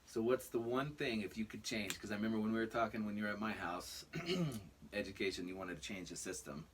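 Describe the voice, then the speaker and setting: A man's voice: on the low side, lively intonation, brisk, slight background noise. A man hosting a podcast in casual interview chat. He speaks in an easygoing way, often giving short agreements, recorded in a small home closet. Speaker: podcast host.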